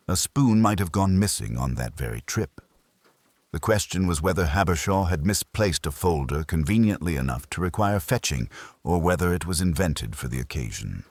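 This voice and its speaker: A man reading a first-person detective story as scripted audiobook narration, in a husky voice. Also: rumbling low, no room sound.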